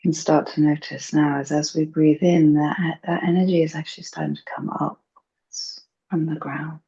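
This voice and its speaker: Female meditation teacher. Soft-toned, husky delivery with some fry, soothing and unhurried. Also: sits low, little pitch movement. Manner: gentle, hushed, unhurried phrasing. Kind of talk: slow, soothing guided meditation. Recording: dull, muffled lo-fi recording.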